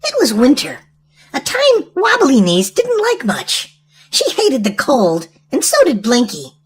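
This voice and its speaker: A woman reading a storybook aloud to young children in an animated, sing-song way. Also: high voice, a little slow, slightly rough. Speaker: children's storytime host and reader.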